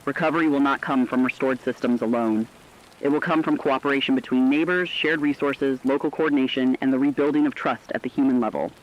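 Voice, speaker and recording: Dull lo-fi sound, man as earnest radio correspondent, mid-pitched, formal, deliberate, scripted emergency broadcast. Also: fairly even intonation, close to an everyday voice. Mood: solemn, hopeful. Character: earnest radio correspondent in a world of failing technology. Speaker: broadcast co-anchor.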